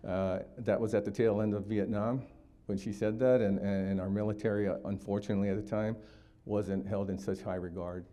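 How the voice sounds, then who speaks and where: A man's voice: fairly low pitch, flat delivery, a little fast, mellow. A man in his sixties from Hawaii, giving a community talk for a district attorney's office, explaining steadily with frequent fillers.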